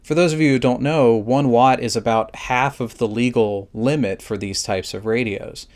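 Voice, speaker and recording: A man's voice: medium pitch, moderate pace, a little mellow. Man narrating a methodical product review. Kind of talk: scripted explainer review narration.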